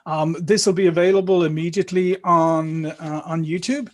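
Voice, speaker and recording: A man's voice: on the high side, steady tone, normal speed. A man hosting and wrapping up an online panel on a video call, upbeat and thanking guests. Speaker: host. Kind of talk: panel moderation.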